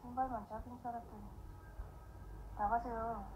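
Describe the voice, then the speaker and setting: A woman's voice: fairly high pitch, level tone, very fast, natural voice. An actress playing a curt, proud, dismissive young woman in a screen drama, with clipped, cold delivery. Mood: cold, irritated. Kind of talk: Korean screen drama acting. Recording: dull, noisy drama playback.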